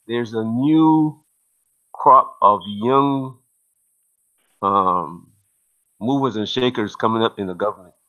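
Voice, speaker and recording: A man talks slowly and haltingly about politics, pausing to find his words as he thinks aloud. His voice is bright and a little tense, and it reaches the listener through a dull, lo-fi sound. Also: lowish voice. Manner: slow, hesitant, thinking aloud. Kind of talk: casual political discussion.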